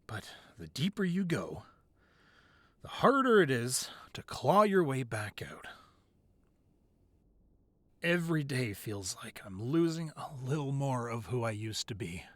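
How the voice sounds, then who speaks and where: A man playing a weary but devoted, protective crime boss boyfriend in an intimate ASMR roleplay, speaking softly and close, at times breathy. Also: mid-range voice, sing-song, unhurried, pauses often, dry recording. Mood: regretful, weary. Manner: soft, intimate, drifting into breathy whispers.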